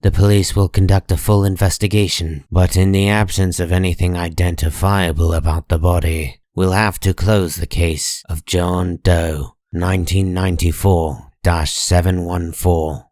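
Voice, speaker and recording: A man narrating a comic dub in a measured, solemn and dramatic scripted style. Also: deep voice, steady tone, a little mellow, no echo, clean studio sound.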